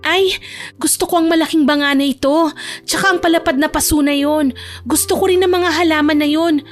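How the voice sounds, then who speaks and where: A woman voicing a feisty, sharp-tongued young rich woman, snappy and sarcastic, in a romance audio drama. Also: high-pitched, fairly even intonation, brisk, faint music behind, voice pushed up, natural voice. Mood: mischievous, eager.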